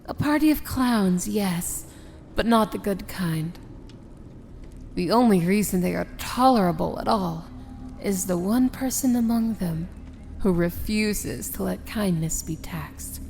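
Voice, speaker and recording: Casting: female actor as proud, tender villain princess for ASMR fantasy roleplay; unhurried, theatrical, intimate delivery. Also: mid-range voice, expressive, gravelly, faint music behind. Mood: dry, teasing. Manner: theatrical, deliberate, often dropping to a hushed whisper. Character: proud, tender princess of evil, wry and regal.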